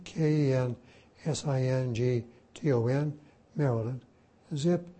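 A man's voice: on the high side, dark tone. An older man, a Jesuit priest whose first language was Slovak, teaching a class informally and spelling words out letter by letter. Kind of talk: informal lecture and Q&A.

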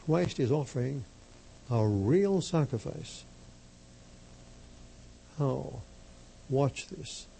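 Slow, gravelly-voiced priest preaching. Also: male voice, medium pitch, animated, dark tone, a little hiss or hum, low-quality recording. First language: Slovak. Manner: emphatic, measured, repeats key phrases. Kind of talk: slow deliberate sermon.

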